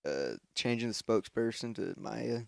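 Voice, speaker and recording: Young man, raspy fry, lively pitch, unsure podcast chat. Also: normal speed, no room sound, studio quality. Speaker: podcast co-host.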